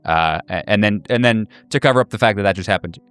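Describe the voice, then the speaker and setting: A man narrating a horror tabletop game as game master, improvising with deliberate, halting pauses. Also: lowish voice, a bit bright, clean tone, no room sound, faint music behind.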